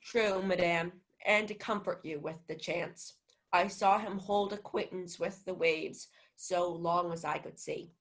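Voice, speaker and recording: Sea captain for radio Shakespeare woman in the role: loyal, courteous, lowish voice. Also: fairly even intonation, a little slow. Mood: reassuring. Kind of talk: student Shakespeare radio acting.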